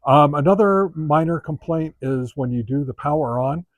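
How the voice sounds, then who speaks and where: A man giving a casual, unscripted product review as host, talking in a halting, conversational way as he thinks aloud. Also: fairly high pitch, no room sound.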